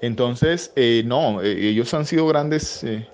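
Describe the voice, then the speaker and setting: A man from Colombia answering interview questions about voice work, pausing often with fillers and restarts. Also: mid-range voice, darkish tone, slight background noise. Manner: hesitant, many fillers and restarts. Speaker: voice-over artist guest.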